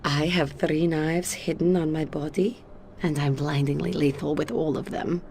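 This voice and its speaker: An actress playing a cool, confident Soviet KGB agent in a video game, with a Russian accent and a commanding, matter-of-fact manner. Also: female voice, sits low, fairly even intonation, moderate pace, darkish tone, a little hiss or hum. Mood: cool, assured. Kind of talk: video game cutscene acting.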